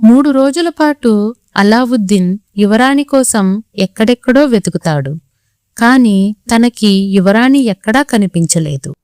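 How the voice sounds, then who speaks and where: A woman's voice: fairly high pitch, lively intonation, unhurried, darkish tone, smooth, no room sound, clean studio sound. A woman reading scripted children's story narration as the storyteller.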